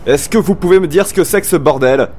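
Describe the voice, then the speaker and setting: A man's voice: a little high, quick pace, a little mellow, soft background music, lightly acted. A man playing a grumpy, exasperated supervillain boss in a comedic audio drama, curt and bossy. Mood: irritated, commanding.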